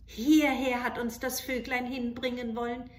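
A woman voicing an innocent, excited little child in a fairy tale, eager and exclaiming. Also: a little high, a little slow, voice pushed up, close to an everyday voice. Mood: excited wonder. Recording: roomy home recording.

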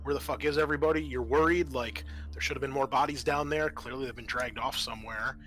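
A man's voice: medium pitch, fairly even intonation, fast talker, fairly bright tone, rough recording. A man running a tabletop roleplaying game as game master, talking casually and swearing freely.

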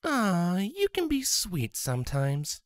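Storybook pony wife, played by a man: high, soft, sweet and teasing. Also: huge pitch swings, slow pace, no room sound, clean studio sound, heavily acted. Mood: sweetly teasing. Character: teasing female pony soldier, drowsy-sweet young newlywed wife.

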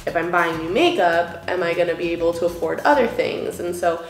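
A woman's voice: lowish voice, fairly quick, gravelly, reverberant, faint music behind. A young woman vlogging while doing her makeup, chatty and full of fillers, a little sniffly, filmed by a camera across an indoor room. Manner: chatty, filler-heavy, musing about worries. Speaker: beauty vlogger. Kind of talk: casual get-ready-with-me chat.

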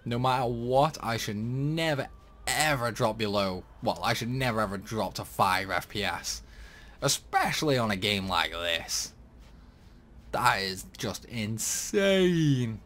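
A man's voice: mid-range voice, expressive, slow, a bit bright. A young man giving rambling, casual commentary over a game playthrough. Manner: chatty, rambling, lots of likes and uhs. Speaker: gaming youtuber.

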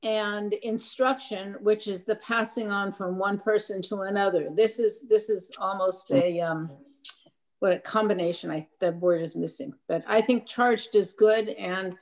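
A woman's voice: medium pitch, fairly even intonation, a little slow. Thoughtful woman in a study group. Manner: thoughtful, searching for words. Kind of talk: class discussion over video call.

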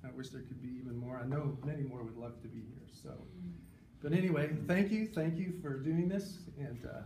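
A man's voice: medium pitch, fairly quick. A man, a pastor, giving an informal, earnest and enthusiastic tribute speech to an audience. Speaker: pastor speaking at a tribute session. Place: conference session room, recorded from a distance. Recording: roomy, distant mic, loud background noise.

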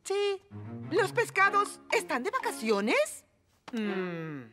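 A woman voicing a lively mother and shopkeeper in a Latin American Spanish cartoon dub. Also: extremely high pitch, slow pace, over music, full character voice. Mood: puzzled. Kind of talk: animated cartoon dub acting.

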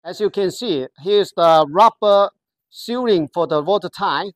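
Halting voice explaining a product step by step. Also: medium pitch, no echo. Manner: halting, careful explanatory delivery in non-native English. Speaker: product demonstrator. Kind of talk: product walkthrough.

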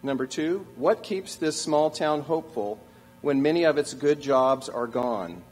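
A man's voice: a little high, animated, measured pace, a little mellow, breathy edge, faint music behind. A man in his sixties moderating a town hall panel on a theater stage, talking in a joking, conversational way into a stand mic. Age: 60s.

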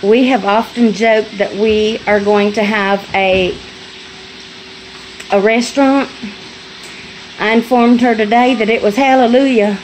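Woman vlogger, middle-aged, slow-spoken and folksy, slightly high voice, faint frying behind, phone mic in a home kitchen. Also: steady tone, a little hiss or hum, low-quality recording. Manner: folksy, chatty, laughs easily. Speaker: homestead vlogger. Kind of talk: casual chat. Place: small home kitchen, indoors. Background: food frying and sizzling.

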